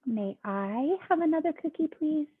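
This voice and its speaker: A woman voicing a calm, polite little duckling in a picture book, speaking softly and mildly. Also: high voice, normal speed, voice pushed up. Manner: soft, mild and polite. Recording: tinny phone-like sound.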